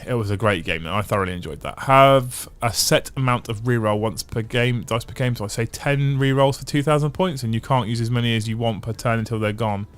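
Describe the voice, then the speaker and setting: A man's voice: mid-range voice, brisk, hardly any pauses, fairly bright tone, clear, resonant tone. A man hosting a casual livestream, chatting and reading out viewer comments, thinking aloud in long runs. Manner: chatty, thinks aloud with restarts and repeated phrases. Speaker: livestream host.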